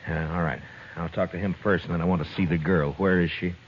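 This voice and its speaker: A man's voice: low-pitched, fairly even intonation, fast talker, close to an everyday voice. An actor playing a tough, laconic lawman of the Old West in a 1950s radio western, with a terse, blunt, questioning way of talking. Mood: businesslike. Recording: dull, noisy old radio recording.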